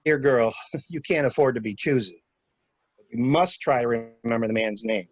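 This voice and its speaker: A man acts out a cool-headed gentleman schemer in a murder mystery drama, briskly giving orders with dry wit. His voice sits at an ordinary male pitch. The recording is dry, with hardly any room sound. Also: breathy edge, dry recording, close to an everyday voice. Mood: wry, chiding.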